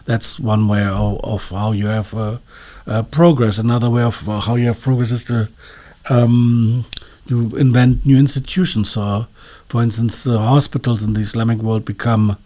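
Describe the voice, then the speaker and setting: A man's voice: mid-range voice, fairly even intonation, normal speed, mellow. A man speaking as an expert interview guest, explaining in a hesitant, scholarly way and searching for his words.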